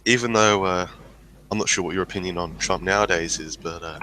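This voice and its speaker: A man's voice: deep voice, a little fast, bright, forceful tone, a little hiss or hum. A man hosting a casual livestream chat, rambling with lots of fillers and restarts. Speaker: stream host.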